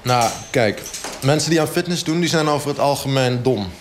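A man playing a blunt, oblivious fitness coach who explains things haltingly and matter-of-factly in a mock radio interview. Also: mid-range voice, slight background noise, lightly acted. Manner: halting, hesitant, matter-of-fact.

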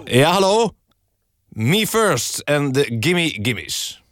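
A male radio host with a fairly high voice presents satirical news items. He stays deadpan and mock-serious, recorded in a dry studio. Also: drawn-out words, no echo, soft background music.

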